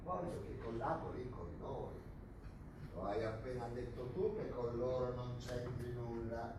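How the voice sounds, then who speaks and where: Stage drama: male actor as coldly mocking, coarse interrogator for a regime, threatening, deliberate; heard far from the mic in a reverberant hall. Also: medium pitch, natural voice. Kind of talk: live stage drama acting. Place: theatre stage, indoors. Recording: echoey, noisy theatre recording from far off.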